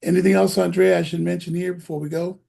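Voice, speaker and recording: Gravelly man host pitching to parents. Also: little pitch movement, fast, mellow. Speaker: host of an education program show. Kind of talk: casual interview, addressing parents.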